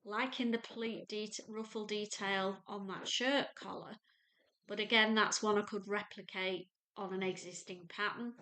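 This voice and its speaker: Slow chatty North Lancashire woman. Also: medium pitch, fairly even intonation, sharp tone. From England. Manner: chatty, unhurried, homely. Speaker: sewing vlogger. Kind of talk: casual chat to camera and screen walkthrough. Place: home sewing room, indoors.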